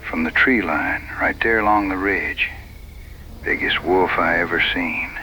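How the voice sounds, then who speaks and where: An actor playing a gruff, rustic frontiersman in an audio western, speaking in plain backwoods phrasing. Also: low-pitched, measured pace, sharp tone, slight background noise, full character voice. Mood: shaken. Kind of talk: dramatized audio western dialogue.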